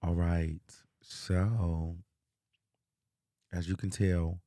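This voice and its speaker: A man hosting a casual livestream as a life coach, talking softly and hoarsely because his voice is mostly gone. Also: low voice, flat delivery, very slow, dry recording, studio quality. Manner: soft, subdued, talking through a lost voice.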